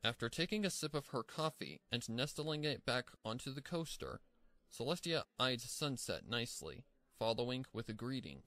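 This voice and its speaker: A man reading scripted story narration in an expressive storytelling manner. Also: medium pitch, moderate pace, no room sound.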